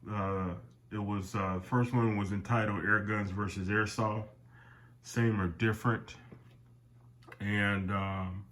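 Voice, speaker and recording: A man's voice: lowish voice, steady tone, slow, a bit bright. An older man with a nasal voice from a summer cold, hosting his airgun collecting channel in a rambling casual talk to viewers. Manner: rambling, with many fillers and restarts, calls viewers "guys". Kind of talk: casual product talk to camera.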